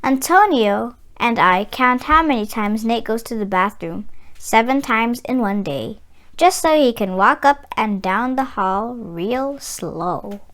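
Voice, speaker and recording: High, lively woman reading a children's story. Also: slow. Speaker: children's read-aloud channel host. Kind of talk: slow, expressive picture-book read-aloud for children.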